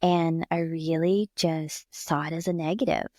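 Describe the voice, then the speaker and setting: A woman's voice: sits low, steady tone, measured pace, dark tone, dry recording, studio quality. A woman hosting a conversational podcast interview, speaking in an effusive, affirming way. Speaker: podcast host.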